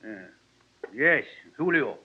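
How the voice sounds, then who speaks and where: An actor playing a nervous, blustering crooked racetrack swindler in 1940s radio melodrama style. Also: male voice, a little high, lively intonation, takes it slowly, husky, exaggerated voice. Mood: nervous, threatening. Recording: muffled, very noisy old radio recording.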